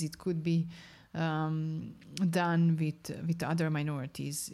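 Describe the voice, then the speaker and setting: A young woman with a low voice roughened by vocal fry answers interview questions about her research. She explains carefully and often pauses to search for the right word. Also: darkish tone.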